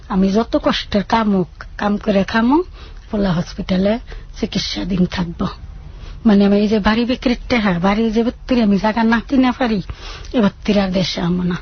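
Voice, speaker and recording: A woman tells her own story in a colloquial rural Bangladeshi dialect. Her voice is husky and plaintive, at an ordinary pitch. The audio is dull and lo-fi. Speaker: guest telling her life story. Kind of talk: emotional personal storytelling.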